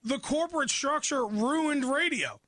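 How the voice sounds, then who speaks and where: A woman's voice: sits high, lively intonation, measured pace, fairly bright tone, no room sound. A woman hosting a radio show, interviewing in a casual, blunt, opinionated and informal way.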